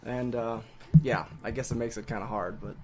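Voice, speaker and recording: A man's voice: medium pitch, fairly even intonation, normal speed, warm tone. A man giving casual group commentary in an offhand, hesitant way. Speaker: fandub voice actor.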